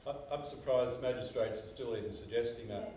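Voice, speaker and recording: A man's voice: medium pitch, fairly quick, a little mellow, far from the mic, slight background noise. Emphatic older Australian man, echoey room. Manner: emphatic storyteller, gestures while talking. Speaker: panelist. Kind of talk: animated panel discussion. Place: small meeting room.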